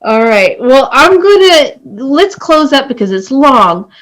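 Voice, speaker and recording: A woman's voice: sits high, expressive, takes it slowly, fairly bright tone, rough recording. A young adult woman hosting a podcast in casual chat, giggly and affectionate. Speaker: podcast host.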